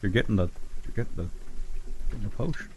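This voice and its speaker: A man with a low voice plays a tabletop game, improvising lines for his character. The recording carries faint noise and clicks. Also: fast talker, warm tone.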